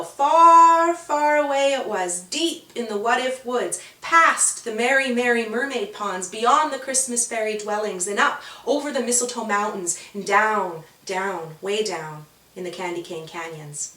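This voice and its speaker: A woman voicing a kind, caring female friend in a children's story, gentle and expressive. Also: fairly high pitch, echoey room, far from the mic, rough recording.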